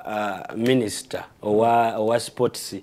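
A man's voice: lowish voice, steady tone, measured pace, darkish tone. A man co-hosting a sports talk show, explaining things steadily and mixing in English terms. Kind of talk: casual studio discussion.

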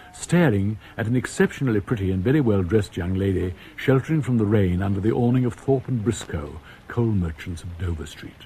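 An actor playing a genial older English author, narrating a radio drama conversationally and straight to the listener. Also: male voice, lowish voice, mellow, slightly rough. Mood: wry, reminiscing. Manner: conversational, addressing the listeners directly. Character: genial older male author telling his own story.

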